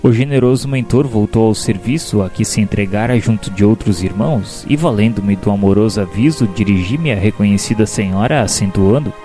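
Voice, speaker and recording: A man's voice: lowish voice, a little fast, few pauses, natural voice. A man playing a humble, heartfelt spirit helper who narrates solemnly in a religious radio drama. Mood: solemn, reflective. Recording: music bed behind narration.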